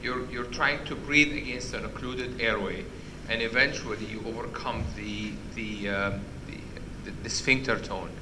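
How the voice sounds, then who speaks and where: A man with a loud voice giving an interactive medical lecture, quizzing the audience and restarting his sentences often. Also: medium pitch, normal speed, hardly any pauses, noisy background. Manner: questions the audience, many restarts. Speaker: physician lecturer. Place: conference hall.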